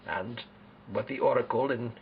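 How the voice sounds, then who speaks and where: Man playing a proud, gravelly Spartan warrior king. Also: medium pitch, moderate pace, dull audio, a little hiss or hum, lightly acted. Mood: solemn. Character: proud, solemn ancient Spartan warrior king being interviewed. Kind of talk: amateur historical role-play interview.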